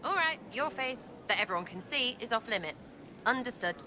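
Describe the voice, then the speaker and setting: A woman's voice: on the high side, expressive, normal speed, background noise, close to an everyday voice. A woman playing a brash, mocking young elf rogue in a video game, cheeky, blunt and slangy. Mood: teasing. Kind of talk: dramatic video game acting.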